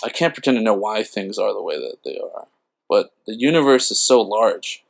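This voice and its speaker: A man's voice: lowish voice, animated, normal speed, creaky. A man playing a dreamy, philosophical teenage boy in an audio drama. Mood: reflective.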